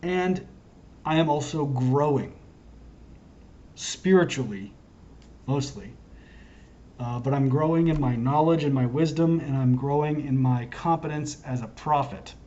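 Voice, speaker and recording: A hoarse man in a rambling solo vlog who keeps restarting his sentences and wanders from thought to thought with earnest conviction. His voice sits at an ordinary middle pitch. The recording sounds dull and lo-fi. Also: a little slow, long pauses, dark tone. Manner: wandering, self-interrupting, earnest. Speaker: self-styled prophet vlogger. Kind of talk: rambling solo monologue to camera.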